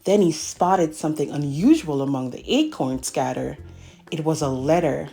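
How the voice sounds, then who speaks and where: A woman reading a picture book aloud to children in an expressive, animated way, with friendly asides to the listeners. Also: deep voice, normal speed, vocal fry, faint music behind.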